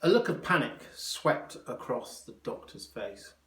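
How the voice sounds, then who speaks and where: A man's voice: mid-range voice, very animated, a little slow, a bit bright. Man reading a story, echoey room. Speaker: teacher reading aloud. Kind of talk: expressive children's story narration.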